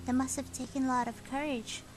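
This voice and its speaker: A woman voicing a gentle young girl companion in a game fan dub, speaking softly and with sympathy. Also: high voice, little pitch movement, brisk, music in the background, natural voice. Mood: sympathetic. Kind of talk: amateur game fandub acting.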